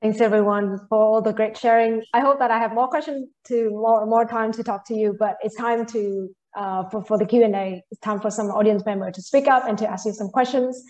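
A woman in her twenties moderating an online panel over an earphone mic, politely and briskly opening the floor for questions. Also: a little high, fairly even intonation, a little mellow, low-quality recording.

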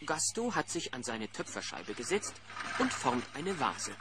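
A man narrates a children's audio play with hugely animated, swooping intonation. His delivery is that of a scripted storyteller. The old cassette recording has clear noise. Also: mid-range voice, measured pace.

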